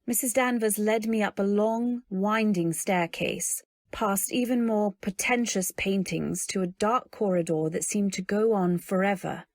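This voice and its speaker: A woman's voice: mid-range voice, measured pace, few pauses, dry recording, lightly acted. Woman acting a timid young wife, close-miked. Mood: uneasy, narrating. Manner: hesitant, gentle, polite. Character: timid, earnest young new wife narrating her tale. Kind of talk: old-time radio drama, first-person narration and dialogue.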